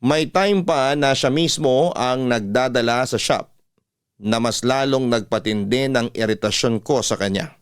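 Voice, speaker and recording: Smooth male radio storyteller. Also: medium pitch, measured pace, no echo, clean studio sound. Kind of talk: scripted first-person story narration, classic radio manner.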